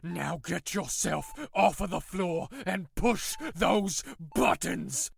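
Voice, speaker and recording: A man's voice: high voice, takes it slowly, gravelly, slightly rough, heavily acted. A male actor playing a sadistic, mockingly polite prison warden in an audio drama, theatrical and taunting. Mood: commanding, smug.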